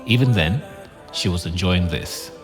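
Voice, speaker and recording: A man's voice: low voice, a little slow, over music. A man reading scripted story narration for an audio drama. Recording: long hall-like reverb.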